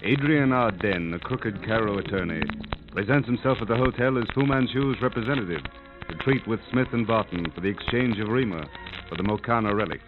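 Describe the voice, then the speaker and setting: A man's voice: on the low side, brisk. A man narrating a 1930s radio serial as announcer, with dramatic, formal delivery. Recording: tinny, band-limited old radio recording. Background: music.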